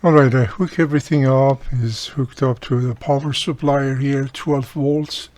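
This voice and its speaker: A man's voice: medium pitch, fairly even intonation, a little slow, a little mellow. A man giving a casual hands-on repair demonstration, explaining each step plainly as he works. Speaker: radio repairer and video host.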